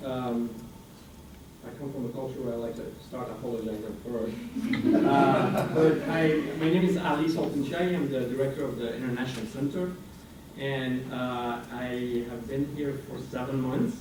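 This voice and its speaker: A man's voice: medium pitch, fairly even intonation, normal speed, distant mic. A man in his forties, raised in France and Iranian American, giving a hesitant, filler-filled presentation to a student senate as a guest speaker. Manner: hesitant, many fillers and restarts. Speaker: guest speaker, international center director. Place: university meeting room. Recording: roomy hall recording from afar, noisy.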